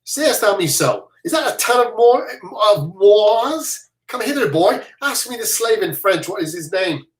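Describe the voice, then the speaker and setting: A reader doing a loud swaggering braggart soldier. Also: mid-range voice, sing-song, a little slow, pitched above the performer's own voice. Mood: blustering. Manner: loud, bullying threats. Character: blustering swaggering soldier, boastful. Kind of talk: reading a play aloud. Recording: roomy echo.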